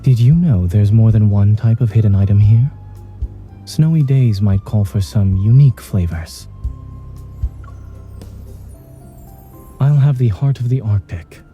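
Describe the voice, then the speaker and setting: An actor playing a reserved, composed doctor in a video game, calm, measured and precise, gently teasing. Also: male voice, on the low side, muffled recording, close to an everyday voice. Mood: calm, wry. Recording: music under the voice.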